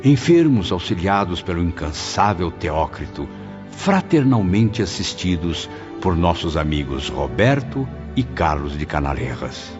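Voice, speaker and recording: A man's voice: sits low, big pitch swings, measured pace. A man playing a tormented spirit who recounts his memories as grave, dramatic first-person audiobook narration. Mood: sober. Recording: dull sound, loud music underneath. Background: loud music.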